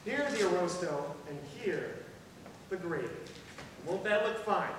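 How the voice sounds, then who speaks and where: An actor playing a cheeky, hungry, fussy manservant in a live stage farce, exclaiming indignantly. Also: male voice, high-pitched, a little slow. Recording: echoey noisy hall from far off.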